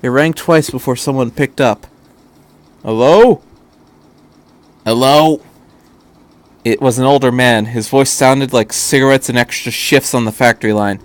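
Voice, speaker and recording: Man reading a story with halting asides. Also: mid-range voice, steady tone, dry recording. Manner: halting, stammering, repeats himself. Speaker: amateur fan fiction reader.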